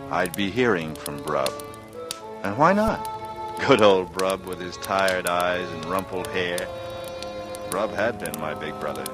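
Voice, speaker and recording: An actor playing a secretive, coolly sardonic young ex-pilot in a 1940s radio drama, with an American accent and a measured, brooding delivery. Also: male voice, sits low, lively intonation. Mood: secretive, excited. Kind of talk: 1940s radio drama acting, first-person noir narration and dialogue. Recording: vintage radio recording with prominent music.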